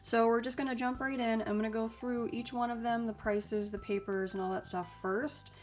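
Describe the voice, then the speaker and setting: A young woman hosting a casual vlog, reviewing gadgets in a chatty, upbeat way with lots of ums and likes. She sounds a bit raspy and stuffy because she is getting over a cold. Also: on the high side, steady tone, normal speed. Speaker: YouTube host reviewing mini printers.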